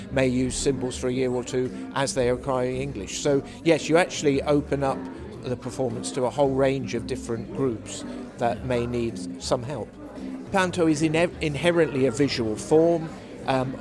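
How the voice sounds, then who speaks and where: A man answers interview questions in a measured, explanatory way. His pitch sits in the middle, and a busy crowd chatters clearly behind him. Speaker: panellist, academic interviewee.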